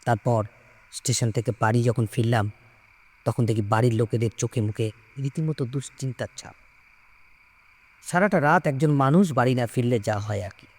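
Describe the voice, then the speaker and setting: A man narrating a scripted ghost story in the first person, in a dramatic, expressive way. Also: medium pitch, moderate pace, pauses often.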